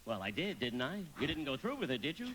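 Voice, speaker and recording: A man's voice: mid-range voice, big pitch swings, fast, a bit bright, a little hiss or hum. A man playing an eager, naive, fussy apprentice guardian angel in a live radio play, speaking earnestly and politely with some hesitation.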